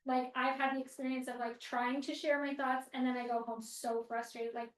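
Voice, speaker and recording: A woman with a high, light voice leads a group conversation in a meeting room. She is heard from some distance, and the room is echoey. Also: little pitch movement. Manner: quick, clarifying, filler "like". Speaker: discussion group host. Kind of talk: casual group discussion.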